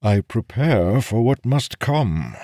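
A grave and stubborn warrior from a fantasy tale, acted by a male narrator. He speaks formally and with measured assurance, his pitch raised and moving expressively, in a dry recording. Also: very animated, dark tone, dry recording. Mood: unyielding.

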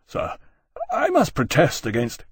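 A man's voice: on the high side, huge pitch swings, unhurried, slightly rough, no room sound, exaggerated voice. A man voicing an earnest, appalled captain in an audiobook, pleading formally in a strained, restrained way. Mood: choked protest.

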